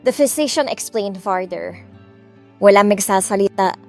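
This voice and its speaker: A woman's voice: mid-range voice, a little slow. A woman narrating a scripted first-person drama story, with music behind her. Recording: music bed under the voice.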